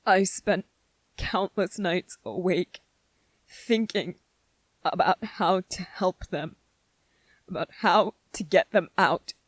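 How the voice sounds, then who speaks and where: A woman playing an anxious, gentle, earnest young male soldier in a soft-spoken ASMR drama, speaking haltingly. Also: lowish voice, breathy edge, no room sound. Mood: wistful, troubled. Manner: hesitant, halting, repeating phrases.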